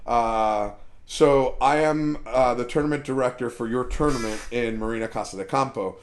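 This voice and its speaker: Gravelly chatty host in his forties. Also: mid-range voice. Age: 40s. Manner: chatty and teasing, quick to laugh. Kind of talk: live streamed interview.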